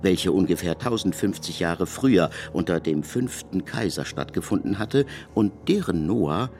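A man reading literary narration for radio in a measured, articulate way. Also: low voice, lively intonation, darkish tone, faint music behind.

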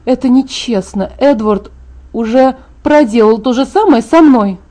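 A woman's voice: on the high side, measured pace, warm tone. A woman voicing a stubborn, easily exasperated young bride-to-be in a storybook reading, protesting and grumbling.

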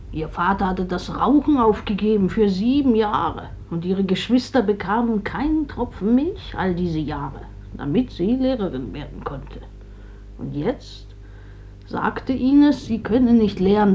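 An actress playing an older, grieving mother in a radio drama, plain-spoken, measured and resigned. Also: mid-range voice, a little mellow, breathy edge. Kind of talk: German stage-like radio drama acting. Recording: dull old radio drama recording.

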